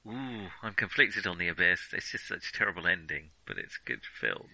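A man's voice: low-pitched, sing-song, a little fast, vocal fry, pushed and bright, dry recording, low-quality recording. A middle-aged British man chatting casually as a podcast co-host, reflective and ready with his opinions. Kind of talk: casual chat about films.

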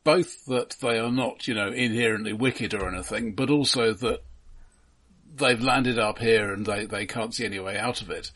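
A man co-hosting a film discussion, explaining things casually in a measured, precise, dry way. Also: on the low side, fairly bright tone. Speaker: film podcast co-host.

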